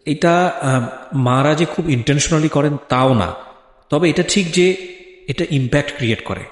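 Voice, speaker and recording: A man's voice: fairly high pitch, lively intonation, unhurried. A man hosting a life-story interview, speaking reflectively and explanatorily, dropping English phrases into his talk. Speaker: interview host. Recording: echoey hall with long reverb.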